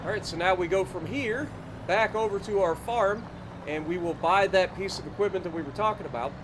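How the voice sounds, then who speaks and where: A man's voice: sits high, brisk, noisy background. A man with a stuffy, nasal voice giving easygoing commentary over his farming game, thinking aloud with fillers. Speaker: gaming YouTuber. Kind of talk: casual let's-play commentary.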